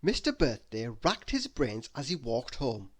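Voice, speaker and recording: A man narrates a children's storybook aloud. His pitch is medium and moves around in a lively way, with deliberate, expressive emphasis on the telling words. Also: slightly rough. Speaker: storybook reader.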